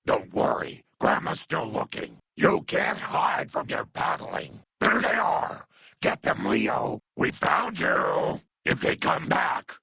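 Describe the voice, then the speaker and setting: This is a video game's monstrous old grandmother, acted out by a man, doting and unhinged. The voice is extremely husky and breathy, full of gravel, and it swings wildly up and down in pitch. Sweet cooing pet names sit right beside scolding threats. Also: bright, forceful tone, dry recording, exaggerated voice. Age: older. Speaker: video game companion character. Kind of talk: video game character acting.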